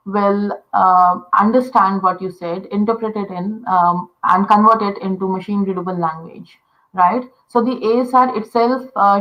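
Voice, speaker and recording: Woman, designer explaining her field, bright forward tone, patient, lots of fillers. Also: medium pitch, low-quality recording. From India. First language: Telugu. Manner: patient explainer with many fillers and "you know". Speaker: voice interaction designer. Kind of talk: explaining in a casual interview.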